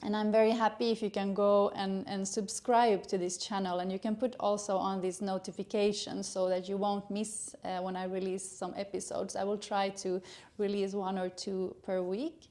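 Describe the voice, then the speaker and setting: A middle-aged woman from Sweden with a Swedish accent, a gynecologist introducing her educational channel in earnest, halting English with many fillers. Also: medium pitch, level tone, mellow. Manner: earnest, hesitant with frequent uh fillers.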